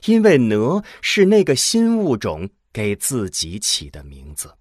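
A man's voice: mid-range voice, huge pitch swings, a little slow, no room sound, clean studio sound. A man reading scripted narration for a science fiction audio drama.

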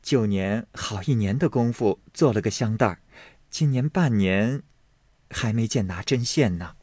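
A man voicing a gentle young maid in an audiobook reading of a classic novel, speaking softly and mildly. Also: mid-range voice, normal speed, breathy edge, no echo, lightly acted. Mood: mild, coaxing. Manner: soft, hushed, mild.

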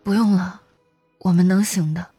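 A woman playing a gentle young mother of small children in a radio drama. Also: fairly low pitch, steady tone, normal speed, breathy edge, no echo, soft background music. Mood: subdued. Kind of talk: Chinese audio drama acting.

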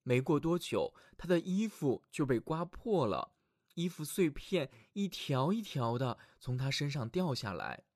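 A man narrating fairy tales for children as a storyteller, reading expressively and dramatically from a script with clear phrasing. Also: on the high side, sing-song, unhurried, a little mellow, no echo, clean studio sound. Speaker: children's storyteller, show host.

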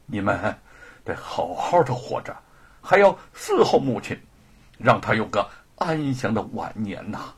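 A man performs a caring elder sibling in a storybook tale. The voice is very husky with wide swings in pitch, consoling and admonishing with emotion. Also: sing-song, measured pace, full character voice.

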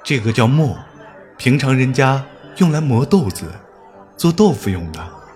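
A courteous young scholar from old times played by a man, with a lively, wide-moving intonation. He speaks formally and bookishly with gentle amusement, in a period audio drama with music and birdsong softly behind. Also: mid-range voice, measured pace, some chatter behind. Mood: amused, kindly. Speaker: voice actor.

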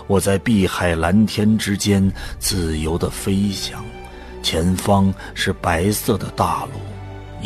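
A man acting as the resolute, wistful hero and narrator of a science fiction audio drama. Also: sits low. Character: male first-person hero, resolute, wistful, near death. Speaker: narrator and lead actor. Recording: voice under prominent background music. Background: music.

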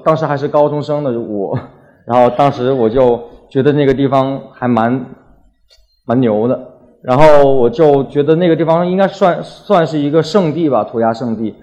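A man's voice: medium pitch, a little mellow. A young man from Wuhan giving a casual talk as a graffiti artist, colloquial and full of anecdotes, acting out little exchanges as he goes. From Wuhan, China.

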